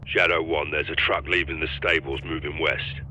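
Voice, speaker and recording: An actor playing a hard, grim special-forces operator, speaking tersely in a military game. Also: male voice, extremely low pitch, flat delivery, moderate pace, bright, forceful tone, a little hiss or hum, full character voice. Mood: controlled, terse. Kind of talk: military game radio dialogue.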